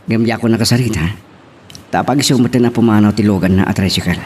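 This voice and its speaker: A man with an Ilocano accent acting a calm, concerned character in a family love drama. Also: fairly low pitch, quick pace, a little hiss or hum, lightly acted.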